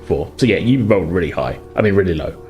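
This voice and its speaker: Man, lowish voice, game master, horror tabletop narration, hesitant improvised phrasing, faint music behind. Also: expressive, fast, warm tone.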